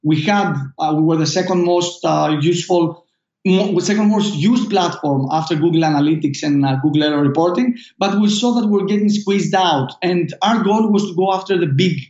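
A Greek-accented man with a high voice answers questions haltingly, stopping and restarting his sentences. His voice sounds roomy and echoey. The recording is dull and muffled. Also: darkish tone. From Greece. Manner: halting, with many fillers and restarts. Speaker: startup founder guest. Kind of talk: casual interview answers.

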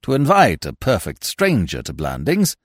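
A man voicing a suave young gentleman in an audiobook, with a smooth, grave, mock-formal manner. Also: on the low side, huge pitch swings, moderate pace, no echo, studio quality. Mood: polite, composed. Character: suave, unflappable young English gentleman with florid courtesy.

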